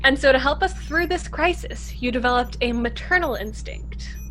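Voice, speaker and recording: A woman's voice: high-pitched, normal speed, pushed and bright, a little hiss or hum, low-quality recording. A woman playing a studious, composed young girl colonist in a sci-fi radio play. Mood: composed, curious. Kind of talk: science fiction radio play acting.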